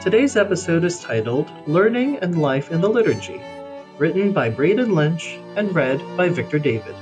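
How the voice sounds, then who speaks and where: Man reading aloud, higher-pitched and expressive. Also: moderate pace, over music. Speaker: reader of a religious blog essay. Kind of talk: scripted read-aloud narration. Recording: faint background noise with low rumble.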